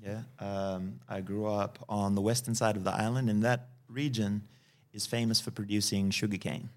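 A man in his early forties from Fiji, calmly telling his life story as a podcast guest and often ending sentences with "yeah?". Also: lowish voice, normal speed. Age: early 40s. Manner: calm, tags sentences with "yeah?" and "right?". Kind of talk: personal storytelling in a podcast interview.

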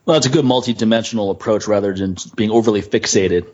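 A man's voice: on the low side, quick pace, darkish tone. A man hosting a podcast, chatting and giving plugs in a blunt, informal way. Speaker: podcast host. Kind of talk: casual podcast chat and plugs. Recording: slightly muffled, dull podcast audio.

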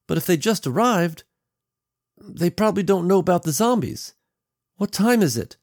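Man voicing a frightened little girl, pitched high. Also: animated, normal speed, a little mellow, dry recording, studio quality. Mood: uneasy. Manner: urgent, pleading. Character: frightened, pleading little girl, his daughter.

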